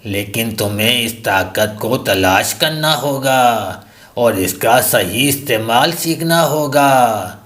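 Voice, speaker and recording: A storyteller voicing a wise old male talking tree in a deep, solemn voice for a children's story. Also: lively intonation, takes it slowly, a bit bright. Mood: solemn, instructing.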